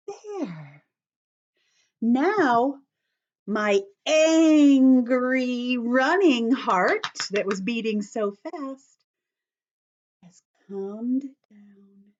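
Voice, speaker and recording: A woman teacher leading young children through a calming drawing activity, speaking gently and encouragingly straight to them. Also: medium pitch. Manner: gentle, encouraging, talks directly to kids. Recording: dull lo-fi home video sound.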